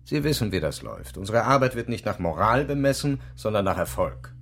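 A calculating intelligence handler in a radio play. The voice is low and gravelly, moving flexibly through measured, formal and evasive phrases. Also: male voice, big pitch swings, a bit bright, soft background music, exaggerated voice. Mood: pragmatic. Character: smooth-talking, pragmatic male intelligence officer handling informants. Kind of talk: naturalistic radio drama dialogue.

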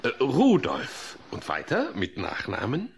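An actor playing a courteous, slightly bumbling middle-aged psychologist in a children's audio drama, coaxing and hesitant. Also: male voice, mid-range voice, huge pitch swings, measured pace, creaky, a little hiss or hum, full character voice. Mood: amused, puzzled.

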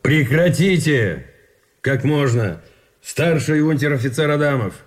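An actor playing a proud, stern army colonel in a radio play, stiff and commanding, with clipped phrases. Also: male voice, on the high side, animated, measured pace.

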